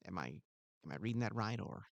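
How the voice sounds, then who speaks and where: A man's voice: fairly low pitch, expressive, fast talker, creaky, no echo, highs cut off. A man playing an insecure, self-important security guard who rambles and stammers. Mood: earnest. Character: insecure pompous male mall security guard. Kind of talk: improvised comedy scene.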